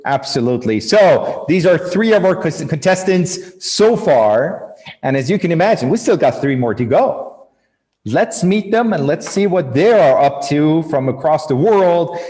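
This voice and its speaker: A man's voice: on the high side, animated, unhurried, mellow. A man hosting a live cooking competition, introducing contestants with upbeat, emphatic announcements. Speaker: host. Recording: long hall reverb.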